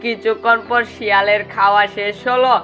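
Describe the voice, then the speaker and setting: A woman's voice: mid-range voice, steady tone, fairly quick, highs cut off, over loud music. A woman narrator telling a children's story in a scripted storytelling style.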